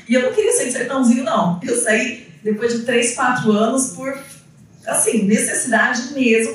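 A woman from the São giving an informal, storytelling talk as an educator, acting out people's reactions as she goes. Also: on the high side. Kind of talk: informal spoken storytelling to an audience. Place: indoor event room, on a small stage with seated audience. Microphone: handheld microphone, recorded by a distant camera. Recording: echoey hall, picked up from far off.

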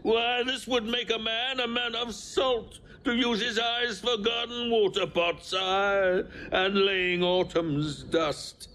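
A man's voice: high voice, fairly even intonation, slow pace, hardly any pauses, bright, forceful tone, full character voice. An actor playing a mad old king, giddy and defiant, declaiming erratically in a Shakespearean audio drama. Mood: manic defiance.

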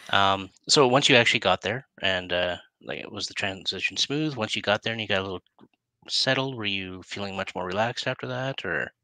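A middle-aged man, hosting a casual interview and feeling his way haltingly into his questions. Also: lowish voice, bright, forceful tone, no room sound, rough recording. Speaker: interview host. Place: plain room indoors. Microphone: headset on a video call.

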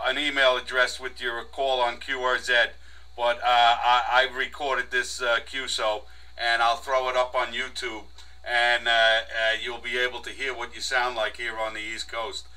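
An American man chats casually as a ham radio operator. His voice is very bright and forceful, at an average male pitch. He sounds some distance from the microphone. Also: level tone, normal speed. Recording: distant from mic, harsh home recording.

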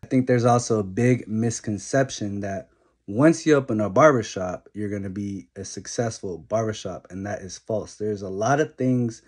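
Earnest young barber, lowish voice, close phone mic. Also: male voice, normal speed, darkish tone, breathy edge. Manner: earnest, straightforward advice, list-like delivery. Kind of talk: talking-head advice vlog. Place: small indoor room at home. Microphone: phone camera held close.

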